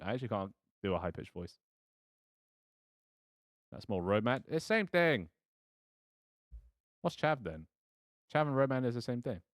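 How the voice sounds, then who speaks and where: A man's voice: low voice, no echo, clean studio sound. A man casually running a tabletop game as game master, hesitant, self-deprecating and prone to swearing.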